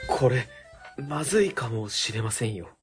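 A man's voice: medium pitch, lively intonation, moderate pace, soft background music, lightly acted. A man playing an earnest, anxious junior city official in a comedic radio drama, speaking formally. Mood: earnest worry.

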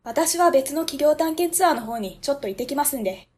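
A woman voices a courteous young ward-office clerk who apologizes politely. Her voice sits fairly high. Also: animated, fast talker. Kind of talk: amateur web radio drama.

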